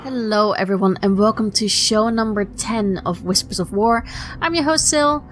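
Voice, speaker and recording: A young woman chats her way through a casual solo podcast. Her voice is slightly husky from a lingering illness, and she rambles along with lots of ums and quick self-corrections. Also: mid-range voice, music in the background. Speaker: podcast host.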